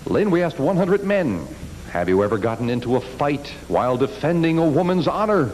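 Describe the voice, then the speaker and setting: A man's voice: fairly high pitch, a little fast. A man hosting a TV game show, cheerful and jokey, keeping the play moving. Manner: cheerful, animated, jokey banter. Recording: noisy TV studio with loud audience.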